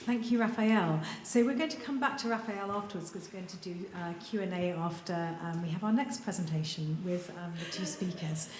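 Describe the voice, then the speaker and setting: A woman's voice: on the low side, brisk, few pauses, heavy background noise. Woman moderator in an echoey hall. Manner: warm introductions with many ums. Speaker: panel moderator. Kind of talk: introducing speakers. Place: large event room. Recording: roomy hall with faint ambience.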